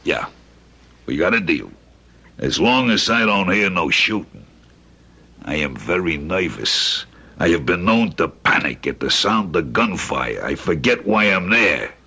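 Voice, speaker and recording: An actor playing a wary, wisecracking young crook in old-time radio drama, with sardonic streetwise gangster talk and a hard-edged voice. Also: male voice, sits low, very animated, unhurried, creaky, pushed and bright, slightly rough, exaggerated voice. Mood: wary, wry. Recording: dull old radio recording.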